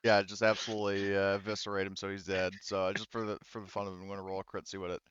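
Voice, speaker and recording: Male game master, casual and halting, deep clean tone on dry, slightly muffled audio. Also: little pitch movement, fairly bright tone, a little hiss or hum. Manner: casual, profane. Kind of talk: narrating a tabletop roleplaying game.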